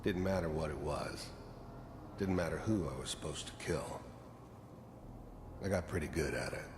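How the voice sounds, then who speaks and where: An actor playing a weary, guilt-ridden hardened killer in a video game, gravelly, brooding and matter-of-fact as he reminisces. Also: extremely low pitch, normal speed. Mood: cold, matter-of-fact. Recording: echoey, noisy game audio.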